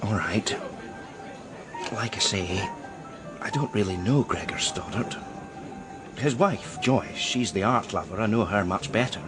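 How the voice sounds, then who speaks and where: An actor playing a discreet male art dealer in a radio crime drama, speaking in a deliberate, hesitant, euphemistic way. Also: fairly low pitch, expressive, over music. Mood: guarded, hesitant. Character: male art dealer George, heartbroken, guarded, discreet old friend.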